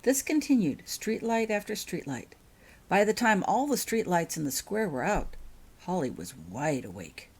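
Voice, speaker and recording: A woman reads a story aloud in an expressive narrating style. Her voice sits on the low side, and her intonation moves widely from phrase to phrase. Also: normal speed, slightly rough. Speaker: author reading own fiction.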